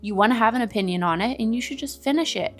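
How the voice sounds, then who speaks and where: A woman's voice: a little high, fast talker, faint music behind. A woman co-hosting a casual, bantering chat, emphatic and opinionated with a teasing edge. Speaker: co-host of a book discussion video.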